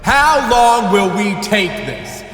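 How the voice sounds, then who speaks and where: Fiery, shouting high-pitched orator in a hall. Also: male voice, very animated, slow, full character voice. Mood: outraged, rousing. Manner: impassioned, declamatory. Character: fiery male rabble-rousing politician addressing a crowd. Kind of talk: dramatic political speech. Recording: echoing large hall, music underneath.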